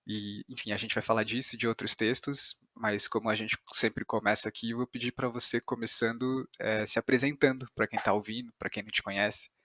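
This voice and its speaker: A man's voice: fairly low pitch, flat delivery, a little fast, hardly any pauses, pushed and bright, dry recording. A man with a Brazilian accent, speaking as a podcast host who introduces and interviews guests.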